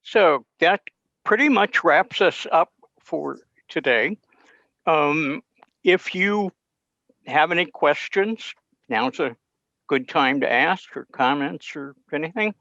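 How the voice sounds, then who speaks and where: Slow-talking man, higher-pitched, chatting casually. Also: sharp tone, no echo. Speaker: presenter. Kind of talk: casual chat. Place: video call session. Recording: dull video call audio.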